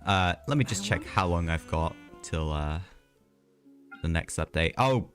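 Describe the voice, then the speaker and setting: A man's voice: sits low, expressive, measured pace, fairly bright tone, soft background music. A man live streaming a video game, chatting casually in a rambling way with hesitant asides.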